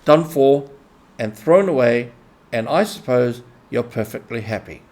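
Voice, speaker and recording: A man as a slow, pettish storybook mole. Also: mid-range voice, expressive, a little mellow, natural voice. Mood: sarcastic. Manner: pettish, deliberate, sarcastic. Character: grumbling, stubborn, literal-minded male mole. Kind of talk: animal character in storybook reading.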